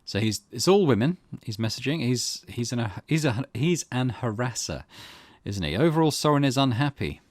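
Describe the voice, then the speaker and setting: A man's voice: medium pitch, very animated, moderate pace, no room sound. A man hosting a let's play, reading game text aloud and adding wry, amused asides.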